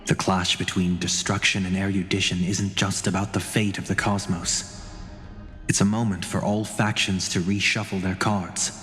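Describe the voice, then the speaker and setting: Game role: cool-headed male strategist, deep register, serious measured delivery, reverberant. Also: flat delivery, a bit bright, faint music behind. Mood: grave, pensive. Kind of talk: video game voice acting.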